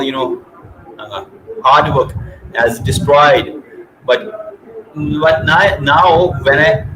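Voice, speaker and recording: A man in his thirties hosting over a video call with earphones, speaking hesitantly with many fillers and stutters. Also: mid-range voice. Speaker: host. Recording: noisy video call audio.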